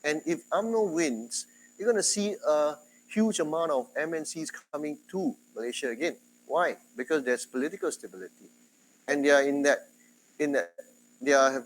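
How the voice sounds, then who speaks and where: A man from Singapore with a Singaporean English accent, giving a university lecture in a chatty, animated way. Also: on the high side, normal speed, slight background noise, poor audio. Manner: chatty, animated, quotes others in dialogue.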